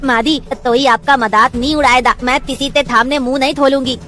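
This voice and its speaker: A woman's voice: sits high, steady tone, fairly quick, sharp tone, faint music behind. A woman voicing a naive young bride who lisps and hesitates, in a cartoon story. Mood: innocent. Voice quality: lisping, childlike speech. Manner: hesitant, with fillers. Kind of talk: cartoon voice acting.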